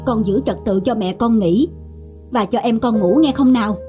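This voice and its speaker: A gentle, caring young father in a cartoon family drama, acted by a man. Clear music plays behind the voice. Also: sits high, moderate pace, natural voice.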